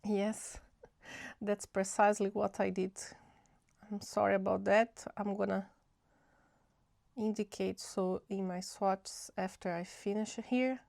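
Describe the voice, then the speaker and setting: A young woman talks slowly through a relaxed painting tutorial, her voice nasal from a cold and edged with vocal fry. She thinks aloud in a chatty, easygoing way. The recording is close and dry. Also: medium pitch, no room sound. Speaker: art channel host. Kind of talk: casual watercolor swatching tutorial.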